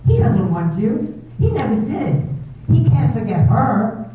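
A woman voicing a cold, cruel female housekeeper in a 1930s-style radio drama, talking in a low, insinuating, taunting way. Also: big pitch swings, slow, mellow. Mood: taunting, menacing. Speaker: reader in an amateur theater group. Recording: echoey tinny hall recording from far off, with noise.

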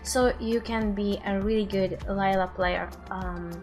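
Slow, halting young woman reacting casually. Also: mid-range voice, steady tone, a little mellow, faint music behind. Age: 20s. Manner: hesitant, halting phrasing. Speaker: streamer reacting to game videos. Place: small room at home.

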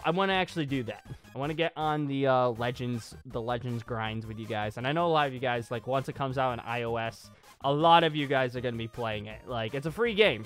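A man in his twenties chatting casually and informally in a rambling channel update, as a gaming YouTuber. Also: mid-range voice. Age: 20s. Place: small home studio room with acoustic foam. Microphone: desk microphone on boom arm. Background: clear background music.

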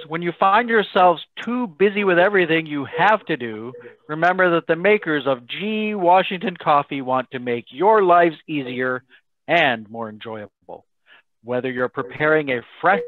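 Vintage male radio announcer, performed by a man: formal, smooth salesmanship, slightly high pitch, thin phone-like audio. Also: no echo, lightly acted. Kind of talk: old-time radio announcing.

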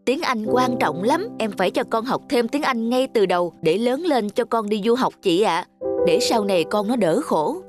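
A woman's voice: a little high, animated, moderate pace, music in the background, natural voice. A woman voicing an impatient, fretful, strict young mother in a cartoon. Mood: insistent. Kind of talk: cartoon dubbing.

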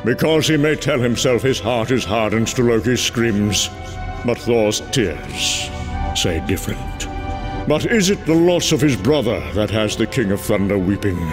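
A man's voice: on the low side, expressive, unhurried, over music. A man giving dramatic, grave scripted narration as the narrator of a story.

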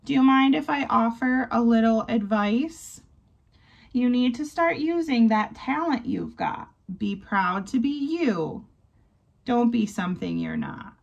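A woman voicing a small, bossy but kindly male mouse in a storybook, squeaky, exclamatory and encouraging. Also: lively intonation, measured pace, gravelly, muffled recording, heavily acted. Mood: reassuring.

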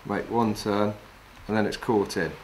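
Low-voiced man demonstrating fly tying. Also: unhurried, a little hiss or hum, low-quality recording. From England. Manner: calm, practical, talks while working with his hands. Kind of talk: step-by-step demonstration. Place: wooden lodge room, indoors.

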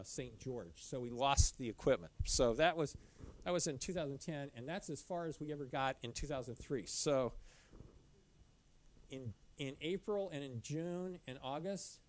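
A middle-aged man giving a casual slide talk in a dim lecture room, with many restarts and fillers. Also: mid-range voice. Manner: loose, halting, frequent restarts and fillers. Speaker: caving club presenter.

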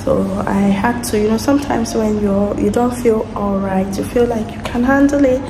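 Hoarse woman vlogging in an echoey hospital. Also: fairly high pitch, fairly even intonation, moderate pace, background noise. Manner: chatty, explains step by step. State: hoarse with sore throat. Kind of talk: casual vlog to camera. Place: hospital waiting area, indoors. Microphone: phone at arm's length. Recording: echoey room, loud background ambience.

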